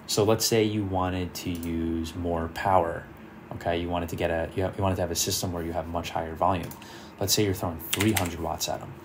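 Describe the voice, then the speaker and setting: A man presenting an informal screen-share software tutorial, explaining things and thinking aloud as he works. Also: low-pitched, background noise. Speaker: car audio tutorial presenter.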